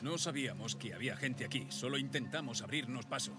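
A man's voice: medium pitch, fairly quick, music in the background, lightly acted. A man playing a terse, guarded middle-aged survivor in a video game, with a Castilian Spanish accent. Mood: stern. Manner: terse, gruff. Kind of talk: naturalistic video game acting.

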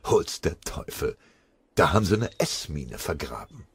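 A man's voice: rumbling low, very animated, measured pace, creaky, breathy edge, dry recording, exaggerated voice. A man playing a cocky, clever army combat engineer in a war audiobook, with a cheeky, casual way of talking. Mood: surprised.

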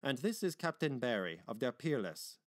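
Man voicing a brisk, shrewd businessman. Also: medium pitch, very animated, dry recording, studio quality, lightly acted. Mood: courteous, reassuring. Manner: brisk, formal. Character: brisk, shrewd businessman, courteous but pointed.

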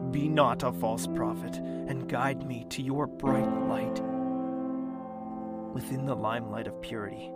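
Tormented fantasy nobleman, male actor, audio drama, unhurried gravelly delivery, clear musical backing. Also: medium pitch. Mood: pleading. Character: brooding, devoted, tormented young nobleman. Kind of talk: slow dramatic narration and monologue, audio drama. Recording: music behind the voice.

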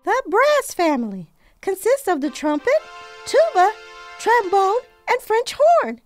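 A woman voicing a cheerful cartoon girl student with a high-pitched voice, who eagerly explains facts. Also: sing-song, a little slow, faint music behind, heavily acted. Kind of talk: educational cartoon voice acting.

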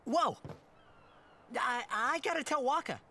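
A man's voice: very high voice, lively intonation, a little slow, bright, forceful tone, a little hiss or hum, full character voice. A young man playing an earnest, impulsive adventurer in a video game, boyish and casual. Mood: excited. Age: young adult.

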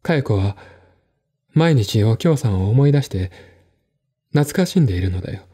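A man voicing a melancholy, earnest, troubled gentleman who confides and pleads, in a one-man radio drama reading. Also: lowish voice, expressive, normal speed, breathy edge. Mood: troubled pleading.

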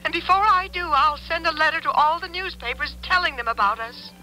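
An actress in a 1970s radio drama playing a desperate, demanding secret mistress, heard through a telephone filter. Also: female voice, high-pitched, brisk, bright, forceful tone, soft background music, low-quality recording. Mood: desperate, threatening.

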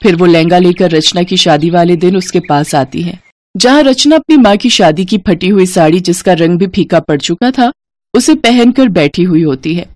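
A woman narrating animated moral stories from a script, as a storyteller. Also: fairly low pitch, normal speed, dry recording, clean studio sound.

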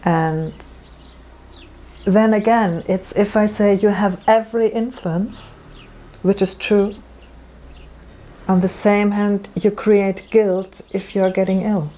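A woman's voice: medium pitch, fairly even intonation, slow, long pauses, dark tone, low-quality recording. A middle-aged woman, a natural medicine practitioner, answering interview questions earnestly, often restarting her sentences. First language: German. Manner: earnest, explanatory, with frequent restarts. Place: room with bookshelves.

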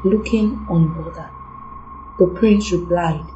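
A woman narrating an audio drama in a plain, steady storytelling manner. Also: lowish voice, slow pace, dull audio. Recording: echoey room with music.

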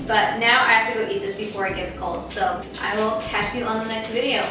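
A woman's voice: mid-range voice, steady tone, brisk, noisy background. A young woman hosting a casual cooking tutorial in her home kitchen, upbeat and chatty, with lots of "like". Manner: chatty and upbeat, says "like" often. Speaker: cooking channel host. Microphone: far camera mic. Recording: echoey kitchen, mic at a distance, music underneath.

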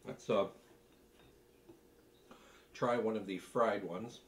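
A middle-aged man giving casual tasting commentary as a cooking video host, speaking in a halting, thoughtful way between bites. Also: lowish voice, steady tone, slight background noise. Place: home kitchen, seated at a table.

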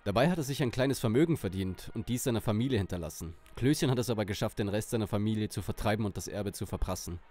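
A man's voice: fairly low pitch, fast talker, hardly any pauses, darkish tone, close to an everyday voice. A man playing an earnest, commanding young detective in a German audio drama parody. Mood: explanatory.

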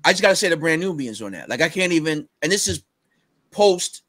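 A middle-aged man with a fairly high-registered voice, talking animatedly on a video call as a guest, full of fillers and quick bursts. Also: dry recording, clean studio sound. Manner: animated, recites rap lyrics with bursts of energy, many fillers. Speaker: rapper guest. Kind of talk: casual video-call interview. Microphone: headset on a video call.

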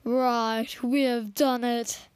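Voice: mid-range voice, level tone, extremely slow, clear, resonant tone, dry recording. A young storyteller acting out an amateur toy puppet play in a theatrical, exclamatory way.

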